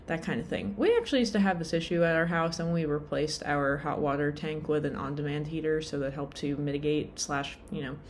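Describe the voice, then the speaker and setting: Low-voiced woman rambling casually. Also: darkish tone, a little hiss or hum. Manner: rambling, lots of "like" and "um", self-deprecating. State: tired. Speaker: vlogger.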